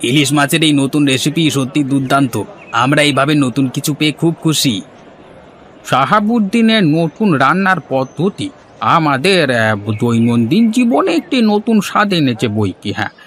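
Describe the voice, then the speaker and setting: An inquisitive cartoon villager with a high voice, played by a man. He is appreciative in his scripted lines, heard over faint insect-like background noise. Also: a bit bright.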